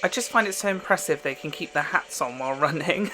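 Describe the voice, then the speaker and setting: A man's voice: a little high, brisk, noisy background. A man hosting a reaction vlog in a chatty, informal way, swearing freely. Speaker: host of a reaction channel.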